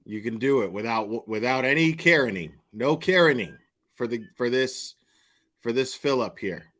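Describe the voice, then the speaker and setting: A man reacting live as a stream host, his pitch swinging widely as he talks. He is slangy and profane, with a mocking, casual way of commenting. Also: lively intonation, moderate pace, fairly bright tone.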